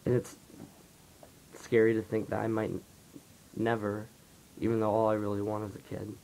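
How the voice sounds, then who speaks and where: A low, flat-voiced teenage guy with a raspy, dry-throated sound, rambling softly through a personal vlog. He leans on lots of fillers as he opens up to the camera. A faint rumble sits underneath. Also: normal speed, low-quality recording. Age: late teens. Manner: soft-spoken, rambling, heavy on fillers. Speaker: vlogger. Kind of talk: confessional casual monologue.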